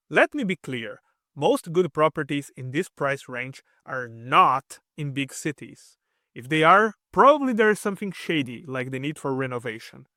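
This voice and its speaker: Bright, crisp-voiced man explaining earnestly. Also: fairly high pitch, no echo, studio quality. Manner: earnest, explanatory, addresses viewers directly; emphatic repetition of key advice. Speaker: expat channel host. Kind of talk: scripted video narration.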